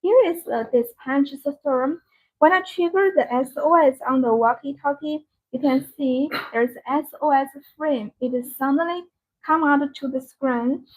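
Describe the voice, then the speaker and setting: A woman hosting a live product demonstration, speaking in halting, repetitive, non-native phrasing. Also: sits high. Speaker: live-stream sales host demonstrating a product. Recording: dull, band-limited live-stream audio.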